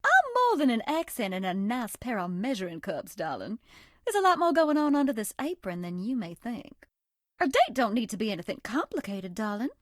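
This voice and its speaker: A sugary, high-voiced Southern belle. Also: female voice, very animated, brisk, dry recording, studio quality. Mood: playful. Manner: coy, sugary, folksy. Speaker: voice actress. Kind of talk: video game character voice lines.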